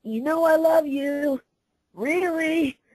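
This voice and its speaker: A woman voicing a cocky, teasing young female pony DJ in a storybook reading, with exuberant, drawn-out taunts and a husky voice. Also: sits high, a little mellow, no room sound. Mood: smug.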